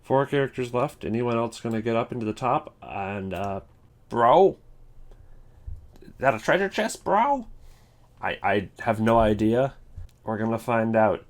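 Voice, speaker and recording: A man's voice: medium pitch, lively intonation, measured pace, pauses often. A man giving casual, off-the-cuff commentary as the host of a ranking video.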